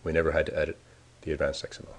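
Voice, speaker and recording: Deep-voiced man walking through software. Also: little pitch movement, racing. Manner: casual, thinking aloud while clicking. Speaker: software developer demoing a tool. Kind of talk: screencast walkthrough.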